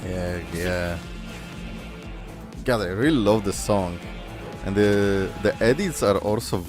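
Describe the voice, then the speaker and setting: A man's voice: lowish voice, slow pace, over music. A man hosting a casual reaction video, rambling with lots of fillers, swearing and laughing as he talks.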